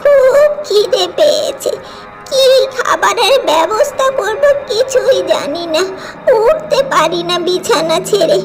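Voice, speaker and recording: Actor playing old witch woman, very high voice, slow muttering, hungry, absent-minded, cartoon fairy tale, music underneath. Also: animated, heavily acted, rough recording. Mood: weary, hungry. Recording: background music.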